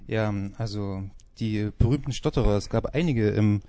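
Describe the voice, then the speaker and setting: A man presenting informally on a music radio show, halting and searching for words as he goes. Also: lowish voice, mellow.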